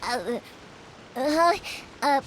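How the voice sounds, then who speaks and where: A woman voicing a bubbly, chatty young firework maker in a video game, talkative and excitable. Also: sits high, extremely slow, slight background noise, heavily acted. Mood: eager, curious. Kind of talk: video game character dialogue.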